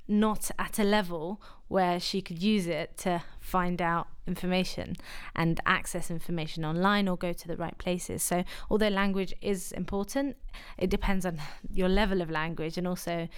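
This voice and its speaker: A young woman from Afghanistan answering interview questions in a measured way, often pausing with fillers and restarting words. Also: lowish voice, steady tone, smooth.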